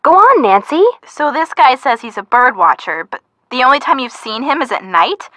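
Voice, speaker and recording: A woman playing a young, chatty, teasing friend of a detective in a video game, with an American accent and an expressive, playful delivery. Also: sits high, moderate pace, no room sound, full character voice. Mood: eager, curious.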